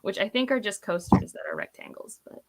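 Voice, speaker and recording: A woman's voice: mid-range voice, fairly quick, heavy background noise. A woman chatting casually as a co-host, in a rambling, self-deprecating way. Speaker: book reviewer, read-along co-host. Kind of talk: casual chat.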